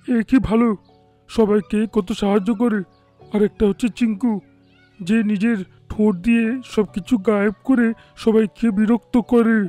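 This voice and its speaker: Complaining woodland animal male actor: high-pitched, soft timbre, accusing. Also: flat delivery, normal speed, soft background music, lightly acted. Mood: grumbling.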